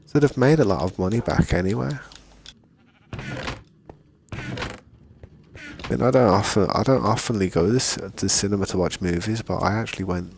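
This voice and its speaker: A man talks through his gameplay in a low, gravelly voice. He rambles in a laid-back way and keeps saying "man". He still has a lingering cough, and faint hiss and keyboard clicks sit behind him. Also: normal speed, pauses often, darkish tone. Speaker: gaming streamer. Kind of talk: casual gameplay chat.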